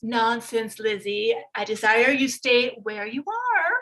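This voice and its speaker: A woman's voice: on the high side, sing-song, slow, fairly bright tone, full character voice. An actress playing a fluttery, bossy middle-aged mother in a staged reading of a period drama, gushing and fussy. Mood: insistent.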